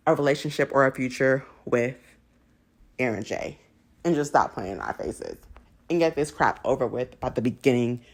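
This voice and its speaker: Low-pitched woman giving candid commentary. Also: darkish tone. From United States, raised in a Jamaican household. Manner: candid, opinionated, laughs easily while talking. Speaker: TV recap show host. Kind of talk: casual chatty commentary to viewers.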